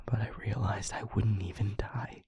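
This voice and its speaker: A man playing an anguished, trapped demon confessing to a loved one in an intimate audio roleplay, speaking haltingly in a breathy, gravelly half-whisper. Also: lowish voice, fairly even intonation, warm tone, no room sound, heavily acted. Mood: despairing. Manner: halting, slow, emotionally weighted delivery.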